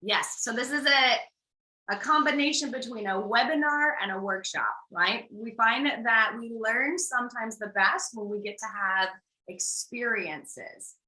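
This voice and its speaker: A woman's voice: fairly high pitch, measured pace, sharp tone, roomy sound, rough recording. An American woman, a life coach from the United States, co-hosting an online workshop over a video call in an upbeat, reassuring way, laughing often.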